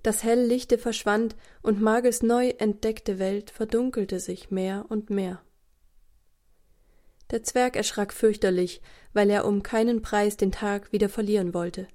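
Mellow female children's storyteller. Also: medium pitch, steady tone, unhurried. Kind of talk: scripted children's story narration.

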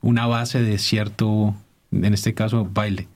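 A man, a dance teacher, answering interview questions thoughtfully, often pausing to search for words. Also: lowish voice, flat delivery, darkish tone. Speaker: dance instructor guest.

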